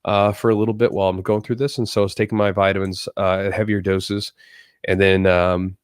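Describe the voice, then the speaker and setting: A man's voice: sits low, flat delivery, fairly quick, smooth, no room sound, clean studio sound. A man hosting a podcast in a casual, rambling, off-the-cuff way, with a slightly congested, nasal voice that often repeats itself. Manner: rambling, conversational, repeats himself. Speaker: podcast host.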